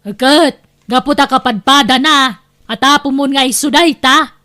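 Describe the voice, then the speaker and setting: Woman acting a high-pitched, bossy aunt. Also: normal speed, pushed and bright. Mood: scolding. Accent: Ilocano. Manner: scolding, insistent. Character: bossy, boastful aunt who nags her nephew. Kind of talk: Filipino audio drama acting.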